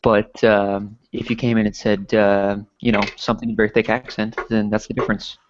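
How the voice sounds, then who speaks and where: Rambling Newfoundland man, low voice. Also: level tone, brisk, dull audio, noisy background. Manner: rambling, many filler likes. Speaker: co-host. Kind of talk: casual podcast chat.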